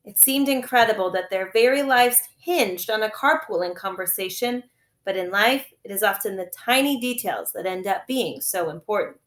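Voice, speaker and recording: Female teacher narrating children's fiction, expressive read-aloud, mid-pitched, noticeably roomy recording. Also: normal speed. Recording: echoey room.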